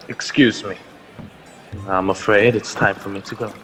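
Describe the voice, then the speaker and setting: A man's voice: lowish voice, steady tone, a little slow, a bit bright. Man acting a proud lieutenant in reverberant hall. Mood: stiffly courteous. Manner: stern, sardonic. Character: proud, threatening army lieutenant loyal to the governor. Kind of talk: radio drama acting. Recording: long hall reverb, music underneath.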